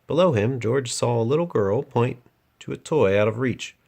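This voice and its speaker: A man's voice: medium pitch, lively intonation, normal speed, gravelly, darkish tone. A man reading a children's picture book aloud as a storyteller and host.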